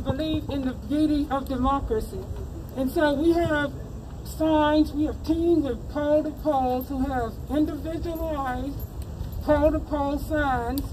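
A woman's voice: sits high, noisy background. A woman organizer giving an upbeat, persuasive short speech at an outdoor podium. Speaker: community organizer.

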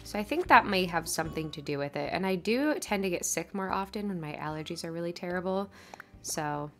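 A woman's voice: fairly low pitch, lively intonation, brisk, faint music behind. A woman with a stuffy, congested nose, chatting casually over her gameplay as a channel host. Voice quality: slightly nasal. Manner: chatty, upbeat, rambling.

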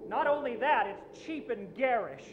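A man's voice: very high voice, expressive, normal speed, dull audio, noisy background. A man playing an aggrieved club owner with a secret love of dresses, plaintive and theatrical, in radio comedy. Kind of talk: old-time radio comedy acting.

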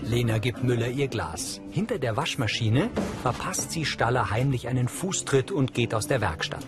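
A male narrator reads scripted audio description in a measured, neutral manner. His pitch is average for a man. Music plays clearly behind him. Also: lively intonation.

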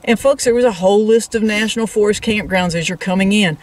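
A woman's voice: medium pitch, quick pace, distant mic. Woman chatting casually, slightly off-mic. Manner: conversational, addresses viewers as folks. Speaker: travel vlog co-host. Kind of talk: casual explanatory chat to camera.

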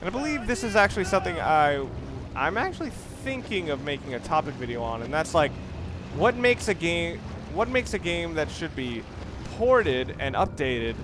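Male let's-play commentator, animated intonation, casual and rambling with restarts, noisy recording with game sound behind. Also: a little high. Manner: rambling, halting, repeats and rephrases himself. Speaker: gaming video host, player. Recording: clear background noise under voice.

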